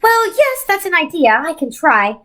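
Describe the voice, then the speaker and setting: Lady reading as grand gentle winged creature in a kids book, high voice, encouraging. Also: very animated, normal speed, heavily acted. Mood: patient explaining. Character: majestic winged centaur-like female creature, kindly and encouraging.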